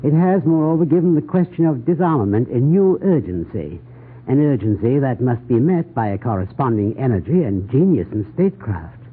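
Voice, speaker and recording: A man's voice: medium pitch, big pitch swings, normal speed, husky. A man giving a scripted 1940s radio news analysis in a formal, grave, oratorical manner. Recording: tinny, band-limited 1940s radio recording.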